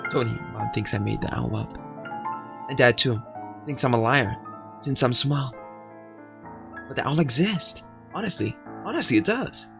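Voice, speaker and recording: A man's voice: medium pitch, expressive, moderate pace, vocal fry, pauses often, music in the background. A man voicing a scared little girl who pleads in fearful whispers.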